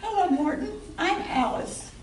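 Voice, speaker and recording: A woman plays a forward, curious barmaid in an amateur radio play. Her voice is high, and it comes from some distance in an echoey room with faint hiss. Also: animated, unhurried.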